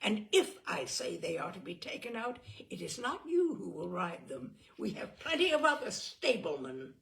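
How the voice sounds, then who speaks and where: A woman's voice: fairly high pitch, very animated, unhurried, gravelly, fairly bright tone, voice pushed up, exaggerated voice. A woman voicing a furious, sneering young man.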